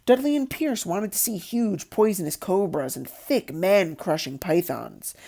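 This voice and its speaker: A woman reads a storybook aloud as narrator. Her voice is soft-edged and mellow, and her pitch moves around a lot as she tells it expressively. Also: expressive, measured pace, gravelly. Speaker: audiobook narrator.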